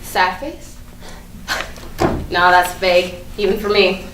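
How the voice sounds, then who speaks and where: A woman's voice: mid-range voice, takes it slowly, a bit bright. A woman acting a petty, indignant young woman with a snarky, emphatic delivery in a comedic staged reading. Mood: dramatic. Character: petty, indignant young woman stewing over former friend. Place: live staged reading in a room. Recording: roomy, distant mic, faint noise.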